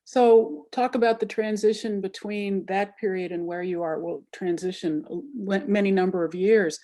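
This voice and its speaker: Mellow-voiced woman interviewer. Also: mid-range voice, steady tone, moderate pace. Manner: brief, prompting questions. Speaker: interview host. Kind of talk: interview questions.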